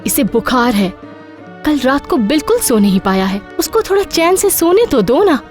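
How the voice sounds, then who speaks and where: A woman's voice: high-pitched, sing-song, normal speed, darkish tone, soft background music. A woman voicing a humble, hard-working mother who works as a maid in a cartoon, earnest and deferential. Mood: indignant. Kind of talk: animated cartoon dialogue.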